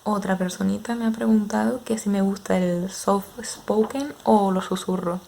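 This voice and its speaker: A young woman in her twenties with an Andalusian accent, answering viewers' questions in a relaxed, hesitant way with many fillers. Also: mid-range voice, creaky, a little hiss or hum. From Andalusia, Spain. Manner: unhurried, hesitant with frequent fillers. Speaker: ASMR creator. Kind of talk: casual Q&A chat with viewers.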